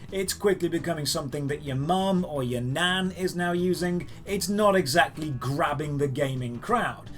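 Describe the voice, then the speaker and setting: High-pitched man, emphatic news host. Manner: emphatic, opinionated, sarcastic. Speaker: host of a daily geek news show. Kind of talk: scripted news commentary to camera.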